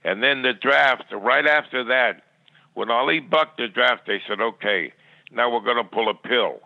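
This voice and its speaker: A man's voice: mid-range voice, moderate pace, gravelly, no room sound. Older man on a tinny phone line. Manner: rambling, plainspoken, stammering repetitions. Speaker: podcast guest. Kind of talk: reminiscing in interview.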